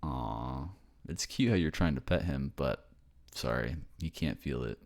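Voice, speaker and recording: Man acting a gentle boyfriend with a low voice. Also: measured pace, creaky, heavily acted. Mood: tender, explaining. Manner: gentle, reassuring, casual. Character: affectionate young boyfriend raised by a ghost family. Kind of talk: ASMR boyfriend roleplay audio.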